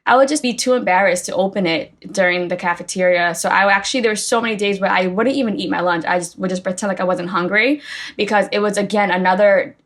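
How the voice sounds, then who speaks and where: A woman's voice: mid-range voice, fast talker, a bit bright. A woman in her thirties from Boston, a guest in a casual interview, talking in a chatty way with lots of "like" and "right?". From Boston, United States. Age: 30s. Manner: chatty, frequent "like" and "right?" tags. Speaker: guest, coffee company founder.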